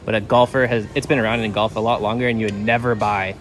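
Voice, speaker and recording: A man's voice: lowish voice, little pitch movement, fast. Chatty man filming a store walk-through. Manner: chatty, rambling, frequent uh fillers and self-corrections. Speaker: vlog host. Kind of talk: casual walk-through vlog. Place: large indoor store aisles. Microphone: handheld camera held by the filmer.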